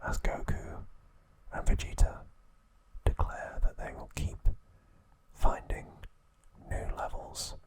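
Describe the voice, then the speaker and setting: A man hosting an ASMR channel, whispering trivia softly and gently. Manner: soft unvoiced whisper, slow and gentle.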